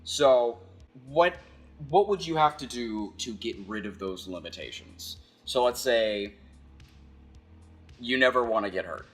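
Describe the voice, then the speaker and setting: A man giving a casual philosophical monologue as a video essayist, halting and conversational as he thinks aloud. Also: mid-range voice, expressive, a bit bright, soft background music.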